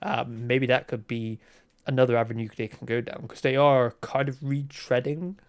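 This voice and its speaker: A man hosting a relaxed conversational podcast, thinking aloud as he puts questions and ideas to a guest. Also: medium pitch, moderate pace, clean tone. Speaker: podcast host, interviewer. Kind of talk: casual conversational interviewing.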